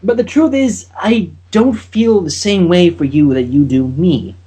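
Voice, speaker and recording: A man voicing an earnest, awkward young female unicorn student in a halting way, for a story reading. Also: medium pitch, warm tone, husky. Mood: awkward, embarrassed.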